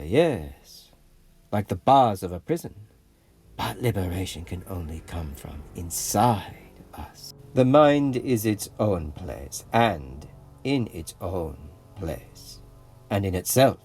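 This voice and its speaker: An actor playing a grandiose, idealistic rebel leader who narrates an audio comic drama in a theatrical, deliberate way. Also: male voice, low-pitched, darkish tone, slightly rough, exaggerated voice. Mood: persuasive.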